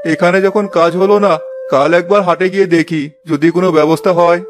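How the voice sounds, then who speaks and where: A man's voice: sits high, steady tone, quick pace, lightly acted. A man playing a poor, weary old village farmer and father in a cartoon, plaintive and resigned. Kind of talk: cartoon fairy tale dialogue.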